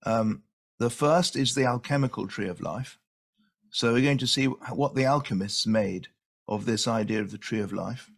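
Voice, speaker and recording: Older male presenter from Venezuela, soft and unhurried, heard over a video call. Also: mid-range voice, creaky. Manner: soft, unhurried, repeats words while thinking. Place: home room with window.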